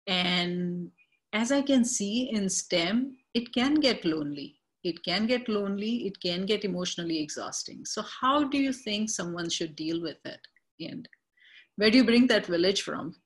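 A woman hosting an interview, speaking in a thoughtful, halting way with fillers. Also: mid-range voice, animated. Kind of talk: conversational interview.

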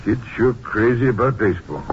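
An actor playing a brisk, plainspoken highway patrol captain in a 1950s radio drama, speaking in short clipped lines. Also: male voice, expressive, close to an everyday voice. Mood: curious. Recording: noisy, muffled old 1950s radio recording.

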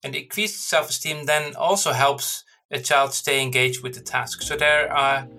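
Bright, tense-voiced male researcher. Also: mid-range voice, fairly even intonation, measured pace. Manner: explanatory, careful, repeats words. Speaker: education researcher. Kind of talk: interview answers.

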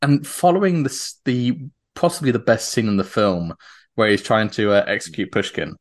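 A man's voice: lowish voice, normal speed. A British man chatting enthusiastically as a podcast guest, laughing often between his points. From Britain. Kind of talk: casual chat.

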